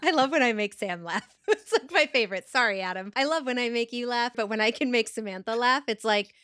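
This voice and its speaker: A woman's voice: fairly high pitch, a little fast, fairly bright tone, clean tone, no room sound, studio quality. A woman podcast co-host and voiceover artist chatting casually with a playful, teasing manner.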